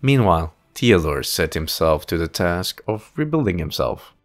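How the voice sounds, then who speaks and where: Casting: male narrator, very low voice, dramatic fantasy audio drama delivery over clear music. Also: sing-song, normal speed. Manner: dramatic storytelling.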